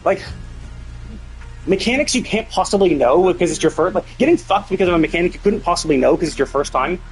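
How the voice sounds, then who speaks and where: A man with a fairly high voice talks casually through a game on a live stream. He is blunt and swears freely, with faint music playing behind him. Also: lively intonation, fast talker, poor audio. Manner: blunt, heavily sweary venting. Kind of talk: casual gaming stream commentary.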